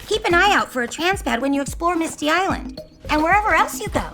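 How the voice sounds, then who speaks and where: A woman's voice: very high voice, expressive, fast talker. A young woman playing a plucky, sassy young mechanic in a video game, with music behind her. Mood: earnest, instructive. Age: young adult. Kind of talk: animated video game acting.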